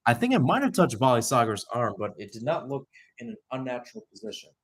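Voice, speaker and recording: A man's voice: medium pitch, sing-song, fast talker, husky. A young man giving live radio-style soccer play-by-play in short clipped phrases, with asides and self-corrections. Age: young adult. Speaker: play-by-play sports commentator.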